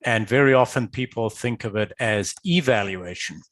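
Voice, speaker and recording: A man from Zimbabwe, a guest expert, answering interview questions in a measured, explanatory way with some hesitation. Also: lowish voice, fairly bright tone, dry recording. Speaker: guest expert, business valuator. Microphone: video call.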